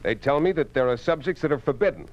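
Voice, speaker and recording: A man's voice: medium pitch, animated, fast, lightly acted. An actor playing a stern, principled newspaper boss in a 1950s radio drama, commanding and pointed in his questions, on a muffled old recording. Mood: firm, troubled.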